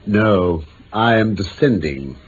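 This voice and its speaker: An actor playing a shrewd, courteous English gentleman detective in a radio drama, speaking with crisp, formal authority and dry irony. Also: lowish voice, lively intonation, slow pace. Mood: dry, cool. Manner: crisp, formal, probing, dryly ironic. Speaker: actor in the lead detective role. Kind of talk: 1950s-60s BBC radio drama acting. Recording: muffled, hissy old radio recording.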